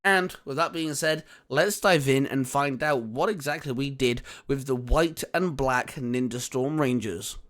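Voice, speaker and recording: A man's voice: medium pitch, measured pace, few pauses, fairly bright tone. A man hosting a hobby video, talking informally as he presents and chats. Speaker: host. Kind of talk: casual scripted intro and chat.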